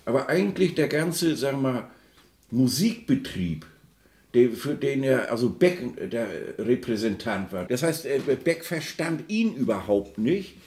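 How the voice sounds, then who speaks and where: A man's voice: mid-range voice, animated, a little slow, darkish tone, roomy sound. A man giving spontaneous, discursive explanations as a music expert, searching for words and often seeking agreement.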